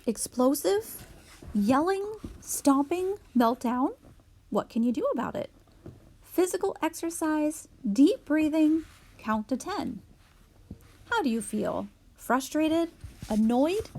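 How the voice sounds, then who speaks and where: A woman reading a children's picture book aloud in an expressive storytelling manner for young children. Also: high voice.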